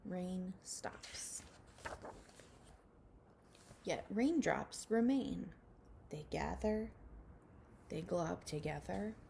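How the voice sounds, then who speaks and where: A woman's voice: fairly low pitch, expressive, drawn-out words, creaky, pauses often, mellow, a little hiss or hum. A woman speaking to young children as a teacher in a read-aloud lesson, addressing them directly.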